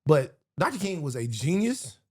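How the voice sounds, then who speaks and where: A man's voice: a little high, sing-song, moderate pace, mellow, breathy edge. A man speaking as a guest in a casual political conversation, emphatic and building his points through repetition.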